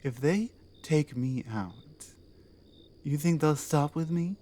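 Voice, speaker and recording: A woman plays an imperious orc queen in an intimate ASMR audio drama. She speaks slowly and deliberately in a dark, mellow tone, ruthless and distrustful yet seductive, leaving weighty pauses between phrases. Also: sits low. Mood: grim, menacing.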